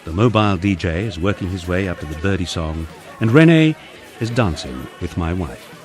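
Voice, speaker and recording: A man's voice: deep voice, lively intonation, normal speed, darkish tone, faint music behind. A man reading a humorous memoir as scripted audiobook narration, with a wry storytelling manner.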